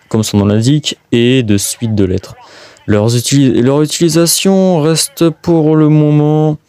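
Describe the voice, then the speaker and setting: A man reading a scripted documentary narration as presenter, in a halting way with stumbles. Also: medium pitch, a little mellow. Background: music.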